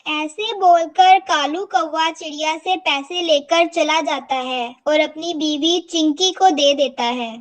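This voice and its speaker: High voiced narrator reading a kids cartoon story, real slow pace.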